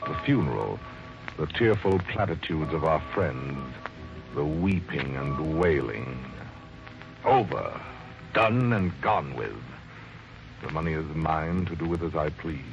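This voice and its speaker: A guilty, brooding widower in a 1940s radio mystery. His voice is low, his speech formal and deliberate. The old recording is dull, with loud music behind it. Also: expressive, creaky, heavily acted. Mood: cold gloating. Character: guilty, scheming widower who poisoned his wife, narrating.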